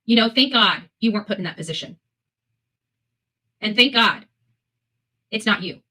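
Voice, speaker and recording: A woman's voice: medium pitch, expressive, moderate pace, sharp tone. A woman hosting live opinion commentary, speaking bluntly and emphatically, repeating phrases for weight and swearing freely. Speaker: host of a live commentary show.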